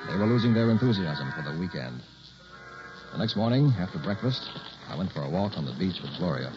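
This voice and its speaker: A man acting a young man who narrates an eerie tale in a 1960s radio drama, deliberate and suspenseful. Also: low-pitched, very animated, music in the background. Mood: tense recounting. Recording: muffled old radio recording.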